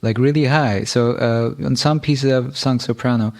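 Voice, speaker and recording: A man's voice: mid-range voice, steady tone, moderate pace, vocal fry, a little mellow. A man hosting a conversational interview, chatty and friendly, drifting into rambling tangents. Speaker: podcast host.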